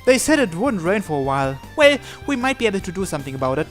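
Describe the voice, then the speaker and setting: A man's voice: high voice, big pitch swings, very fast, faint music behind. A man hosting a let's play, reading story narration aloud and breaking off for casual asides on the text. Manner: stumbles and breaks off to comment on the text.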